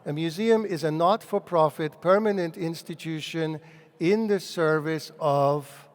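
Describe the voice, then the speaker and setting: A man from Hungary, giving a public lecture in English and often restarting his phrases as he thinks. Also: fairly high pitch. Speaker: guest lecturer. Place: large lecture hall. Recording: long reverb of a large hall.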